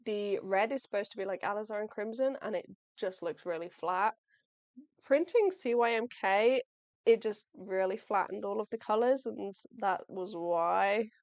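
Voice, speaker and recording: A woman's voice: medium pitch, moderate pace, no room sound. A young woman live-streaming as she packs shop orders. She talks in a chatty, friendly way, thinks aloud and apologizes often, and her voice is wearing out. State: losing her voice. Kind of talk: casual livestream chat. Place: home workspace, packing orders.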